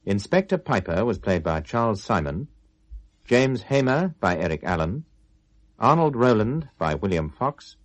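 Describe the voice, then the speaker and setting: Deep-voiced British radio announcer, lively intonation. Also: male voice, a little slow, dry recording. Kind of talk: formal scripted closing credits.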